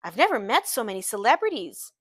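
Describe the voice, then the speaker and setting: Woman reading as Daisy, flirtatious high-voiced socialite. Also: very animated, quick pace, fairly bright tone, husky, no room sound, studio quality, exaggerated voice. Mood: admiring.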